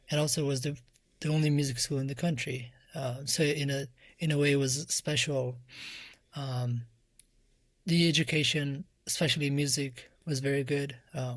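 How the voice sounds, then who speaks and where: Soft-voiced Afghan-accented man, dry close recording. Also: medium pitch, dry recording. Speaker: interview guest, musician and music teacher. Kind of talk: reflective interview answers.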